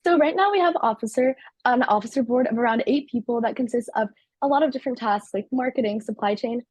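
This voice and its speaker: Fast-talking teenage girl, husky and dry. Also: fairly high pitch, darkish tone, no room sound. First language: Turkish. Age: teens. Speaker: student company CEO. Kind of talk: interview answers.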